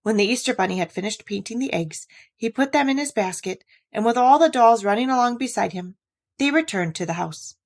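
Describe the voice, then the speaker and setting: A woman's voice: fairly high pitch, lively intonation, brisk. Woman reading a children's storybook. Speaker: storybook reader. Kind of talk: scripted children's story narration.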